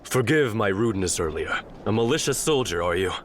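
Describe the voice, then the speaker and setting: An actor playing a valiant, courteous warrior general in a video game, speaking in formal, earnest, heroic phrases. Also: male voice, on the low side, animated, fairly quick, background noise, lightly acted. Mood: courteous, solemn. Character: valiant, courteous young male warrior general, solemn and noble. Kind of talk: dramatic video game cutscene acting.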